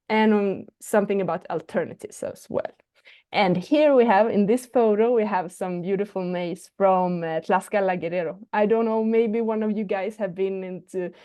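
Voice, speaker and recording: A woman's voice: medium pitch, warm tone. A woman with a Swedish accent, a non-native English speaker, giving an online presentation with many fillers and restarts. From Sweden. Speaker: guest speaker.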